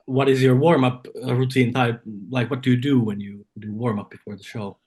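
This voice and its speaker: A man's voice: mid-range voice, flat delivery, a little fast, a little mellow, slightly rough. A man interviewing someone, asking questions in a casual conversational style.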